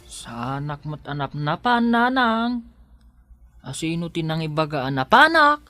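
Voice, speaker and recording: A woman's voice: low-pitched, big pitch swings, a little slow, bright, forceful tone, soft background music, exaggerated voice. A woman with an Ilocano accent playing a playful, bantering young woman in a radio drama. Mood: earnest.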